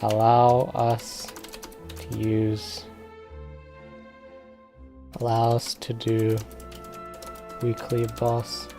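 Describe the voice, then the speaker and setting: A livestreamer talks casually in a middling pitch at a very slow, halting pace. The speech sounds like thinking aloud at a computer, with faint music and keyboard typing behind it. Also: completely flat delivery, warm tone. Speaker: game streamer. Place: indoors at a computer.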